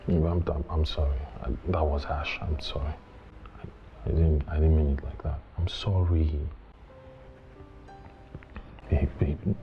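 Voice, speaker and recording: An actor playing a domineering husband who turns coaxing and apologetic, in a naturalistic screen drama. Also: male voice, sits low, lively intonation, a little slow, lo-fi sound, faint music behind. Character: domineering but coaxing husband, adult man, quick to apologise.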